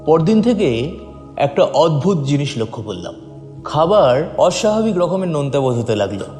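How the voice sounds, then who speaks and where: A man's voice: fairly high pitch, very animated, normal speed, dark tone, soft background music. A man narrating a first-person audio story in a dramatic, scripted storytelling style. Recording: roomy, long reverb.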